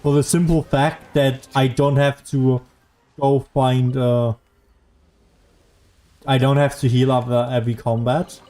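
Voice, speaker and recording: A man's voice: mid-range voice, flat delivery, measured pace, long pauses, warm tone. A man giving casual, sarcastic commentary as he plays a video game. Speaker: gaming streamer. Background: faint game music.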